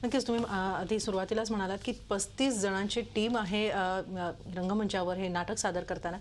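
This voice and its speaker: A woman's voice: mid-range voice, steady tone, moderate pace, slight background noise. A woman presenting and asking questions as a television news interviewer in a broadcast style. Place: TV news studio.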